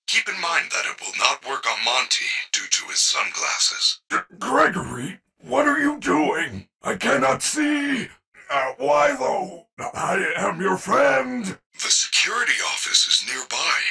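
Friendly robot bear from a video game, super animated and bright, formal and caring. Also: male voice, sits high, measured pace, creaky, husky, distant mic, heavily acted. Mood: earnest, instructive, then alarmed, pleading. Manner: formal, precise wording without contractions, earnest. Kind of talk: video game character voice acting.

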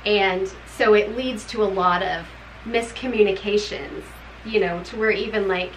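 A woman's voice: mid-range voice, measured pace, a little hiss or hum, poor audio. A middle-aged woman co-hosting a book club video, talking casually about a novel she read, rambling and laughing often. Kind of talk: casual book review chat. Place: home room with bookshelves.

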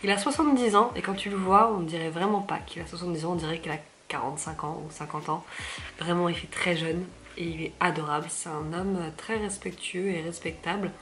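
A young woman vlogging from her living room, hoarse from a cold, chatty and self-mocking with lots of fillers. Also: lowish voice, fairly even intonation, brisk, fairly bright tone. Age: young adult. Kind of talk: casual vlog chat to camera.

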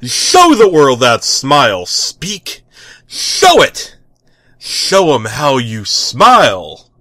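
A man's voice: medium pitch, huge pitch swings, extremely slow, pushed and bright, dry recording, pitched above the performer's own voice, exaggerated voice. A man voicing an enthusiastic, dim-witted superhero who makes grandiose proclamations in a comic story reading. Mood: righteous, bombastic.

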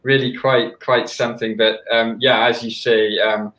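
A young man from Wales with a Welsh accent, a conductor talking softly over a video call, with many fillers and restarts. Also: mid-range voice, level tone, measured pace, reverberant, poor audio. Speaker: orchestra conductor, guest. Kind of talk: casual interview chat. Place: small room at home. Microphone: headset on a video call.

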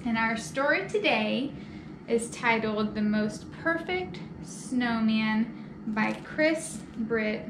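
A woman's voice: fairly high pitch, expressive, slow pace. A young woman hosting storytime, reading a picture book aloud to children and addressing young listeners with simple questions. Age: young adult. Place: indoor children's library room. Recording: roomy echo, clear background noise.

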